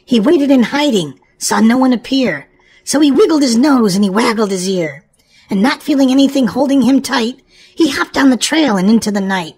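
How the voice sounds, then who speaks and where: Lady doing a kids read-aloud, high voice, super animated and exclamatory. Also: moderate pace, slightly rough. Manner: exclamatory, addressing young children. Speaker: children's show host and storybook reader.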